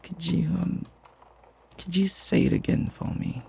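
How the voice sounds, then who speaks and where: A man playing a flirty, devoted butler in a romantic ASMR roleplay, speaking softly and tenderly with teasing and hesitant pauses. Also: high voice, vocal fry, slight background noise, full character voice. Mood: tender, flirtatious. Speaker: voice actor.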